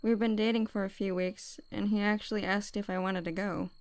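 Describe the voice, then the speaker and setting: Woman playing a quiet, reserved crew member. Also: medium pitch, steady tone, brisk, dry recording, close to an everyday voice. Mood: hesitant. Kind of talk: audio drama acting.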